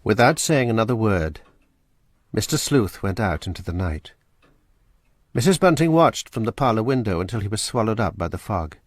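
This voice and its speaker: A man narrating a radio drama in a measured storytelling manner. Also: lowish voice, big pitch swings, dry recording. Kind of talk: scripted narration.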